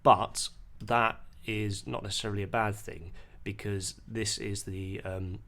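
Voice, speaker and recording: A man explaining music software in an unscripted tutorial, hesitant and pausing mid-sentence as he goes. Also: fairly low pitch, little pitch movement. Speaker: music producer giving a tutorial. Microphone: voice-over on a screen recording.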